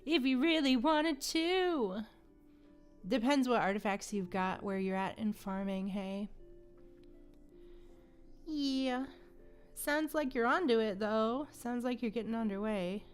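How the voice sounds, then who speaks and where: Woman, gaming livestream host, informal chatter, leisurely pace, music softly behind. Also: on the high side, animated, takes it slowly, pauses often, clean tone. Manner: informal, sweary, chatting to viewers. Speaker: livestream host playing a video game. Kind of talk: casual gaming stream chat. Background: game music.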